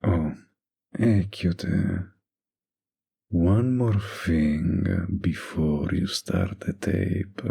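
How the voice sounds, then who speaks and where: A man playing a flirty, menacing, dominant mafia boss in an ASMR roleplay, with a husky, gravelly voice and a slow, teasing drawl. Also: sits low, a little mellow, heavily acted. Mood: quietly threatening.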